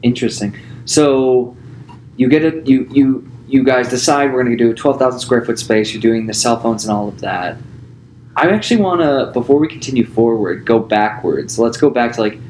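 A man's voice: medium pitch, normal speed, darkish tone, a little hiss or hum, poor audio. Laid-back man asking curious questions. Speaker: podcast host. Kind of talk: casual interview chat.

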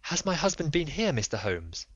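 A man's voice: a little high, sing-song, normal speed, no echo, voice pushed up. A man voicing an anxious noble Victorian lady who pleads in formal, earnest speech. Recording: dull lo-fi dry recording.

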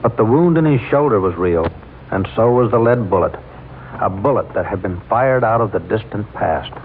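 Low-voiced male mystery narrator, grave, on a phone-thin old radio recording. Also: animated, normal speed, phone-quality sound, slight background noise.

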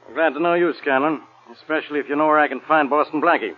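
An actor playing a gruff, bossy American police inspector in a 1940s radio crime drama, speaking in a brusque way. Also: male voice, fairly high pitch, fast, close to an everyday voice. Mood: probing. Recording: tinny, band-limited old radio recording.

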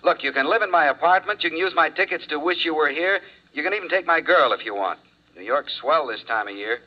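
A brisk, joking office man in a vintage radio play. His voice sits a little high and he rattles along quickly and insistently. The sound is dull, from a 1950s broadcast. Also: lively intonation. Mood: breezy, insistent. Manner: breezy, insistent, fast-talking. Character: brisk, pushy, joking male insurance claims manager.

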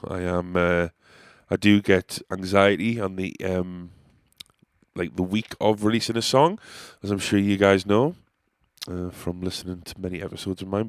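A man's voice: sits low, clean tone, no echo, studio quality. Rambling, sweary man with stuffy nose. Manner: chatty, rambling, sweary, self-deprecating. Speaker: podcast host, comedian and musician. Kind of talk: casual rambling monologue.

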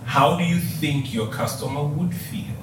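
A man with a Tanzanian accent, an emphatic, animated coach talking about customer service. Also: a little high, a little slow. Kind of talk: coaching talk. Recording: echoey room, distant mic, noisy.

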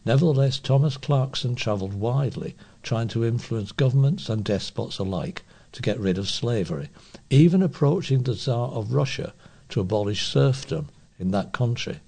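A man with a British accent and a husky voice, reading feature articles aloud as a volunteer newsreader. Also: mid-range voice, expressive, normal speed, mellow. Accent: British English.

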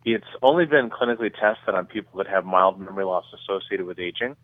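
A man's voice: low-pitched, fast talker, dry recording. A man speaking as an expert interview guest, explaining things in a conversational way. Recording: narrow phone-like line.

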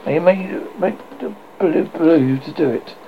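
A man's voice: a little high, measured pace, a little hiss or hum. A man voicing a boy pretending to be a fussy, mock-solemn doctor, on a dull, muffled recording.

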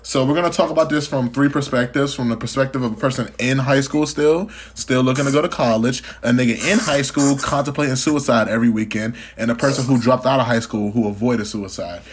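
A man's voice: mid-range voice, steady tone, a little fast, hardly any pauses, slight background noise. Young man hosting a joking podcast. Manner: joking, profane banter with frequent laughs. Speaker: podcast host. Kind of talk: casual chat.

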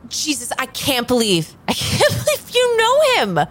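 A woman's voice: high voice, huge pitch swings, moderate pace, fairly bright tone, heavily acted. A woman in an improvised audio drama playing a disgusted, scornful psychic tarot reader in a heated argument. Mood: disgusted disbelief. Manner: exasperated, scornful.

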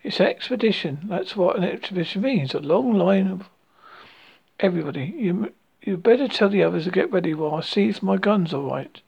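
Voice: mid-range voice, expressive, fairly quick, creaky, slightly rough, muffled recording. A storybook reader voicing a bossy, matter-of-fact young boy, in a plain, offhand way close to the reader's own voice. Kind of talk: storybook reading.

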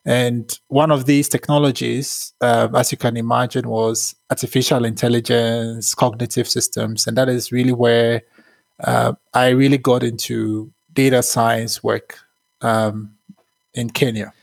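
A man in his thirties from Ghana, a tech founder answering interview questions in a measured, thoughtful way with frequent fillers. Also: mid-range voice, little pitch movement, vocal fry, no room sound.

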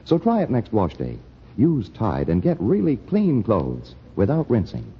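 A male announcer gives an emphatic old-time radio sales pitch. His voice is lowish and very husky, swinging widely for emphasis. The recording is dull and muffled. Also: huge pitch swings, measured pace, soft background music. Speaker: commercial announcer. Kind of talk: vintage radio advertising pitch.

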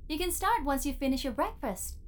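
A woman playing a cheeky, breezy, self-assured AI therapist in an audio drama, with a playful, teasing, brisk manner. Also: high voice, lively intonation. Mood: breezy, encouraging.

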